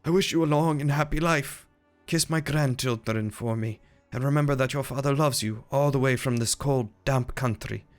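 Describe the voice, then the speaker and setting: Casting: man playing elderly Romanian father reading his farewell letter, tender and solemn, mid-pitched, light music behind. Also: normal speed, few pauses, natural voice. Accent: put-on Romanian. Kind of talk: reading a letter aloud in character.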